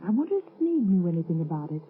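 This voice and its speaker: A woman playing a concerned, inquisitive gentlewoman in a 1930s radio drama, on a tinny old recording. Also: lowish voice, sing-song, quick pace.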